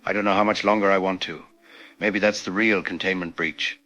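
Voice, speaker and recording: Low-voiced grieving scientist played by a man. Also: steady tone, brisk, sharp tone, dull audio. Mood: resigned, despairing. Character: grieving male scientist recording a desperate final log. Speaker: doctor and researcher. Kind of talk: audio drama monologue, confessional log.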